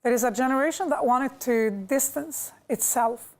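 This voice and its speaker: A woman from Lebanon speaks as an interview guest, laying out her upbringing step by step. Her voice sits a little high, and she explains with care. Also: moderate pace, a little mellow. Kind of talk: interview answers.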